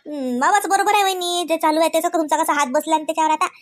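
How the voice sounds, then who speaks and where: A woman's voice: very high voice, racing. A woman voicing a teasing, supportive village woman in a comic cartoon, with a Varhadi Marathi accent and a familiar, folksy way of talking. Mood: reassuring.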